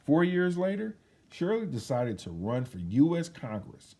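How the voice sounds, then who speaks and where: A man reads a children's picture book aloud in a mellow, slightly husky voice. His delivery is expressive and emphatic, with pitch that rises and falls freely as he tells the story. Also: measured pace. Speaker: children's read-aloud host.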